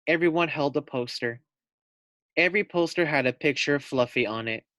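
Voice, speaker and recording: Young teacher, male, reads to kids, gentle and steady. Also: fairly high pitch, no room sound, studio quality. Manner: gentle, unhurried, with friendly asides. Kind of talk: reading a picture book aloud to first graders.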